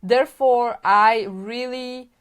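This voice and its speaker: A woman's voice: fairly high pitch, steady tone, slow, clean tone. Chatty woman hosting a live Q&A. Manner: chatty, informal, with frequent fillers and self-corrections. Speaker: immigration consultant hosting a live stream. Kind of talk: live Q&A answering viewer comments.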